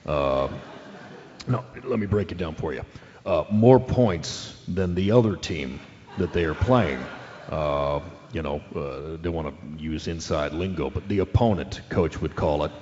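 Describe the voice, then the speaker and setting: A man playing a dim, earnest ex-football player on a sports radio show, halting as he states the obvious, in a radio drama. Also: a bass voice, lively intonation, darkish tone. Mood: earnest, explaining. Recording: dull lo-fi sound, long hall reverb.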